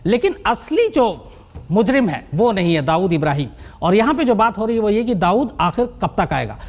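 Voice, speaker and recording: An emphatic television news anchor in mid-broadcast, with a medium pitch. The delivery is a live presentation that circles back over key phrases to build things up.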